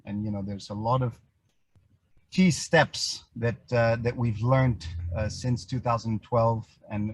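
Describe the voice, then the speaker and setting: Husky, low-voiced man presenting. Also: no room sound, low-quality recording. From Indonesia. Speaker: business representative, webinar panelist. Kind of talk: prepared introduction in an online panel. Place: online video call.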